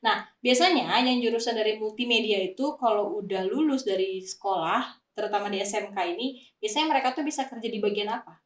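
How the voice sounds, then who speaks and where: A woman's voice: mid-range voice, quick pace. A woman hosting a casual podcast interview, informal and chatty. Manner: informal, chatty, frequently echoing the guest. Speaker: podcast host. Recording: echoey room.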